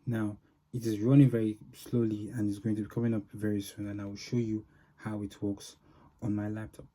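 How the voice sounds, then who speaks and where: A man with a low, very mellow and dark voice walks through a software demonstration. He speaks informally and haltingly, often pausing mid-phrase as he explains each step. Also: level tone. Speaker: software developer presenting a demo. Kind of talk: informal product demo walkthrough.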